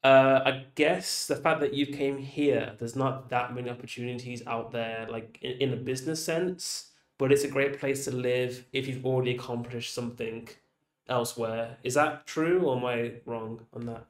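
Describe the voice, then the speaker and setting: A man hosting a casual interview, talking in a relaxed, chatty way and saying "like" a lot. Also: medium pitch, normal speed, echoey room. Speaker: interview host.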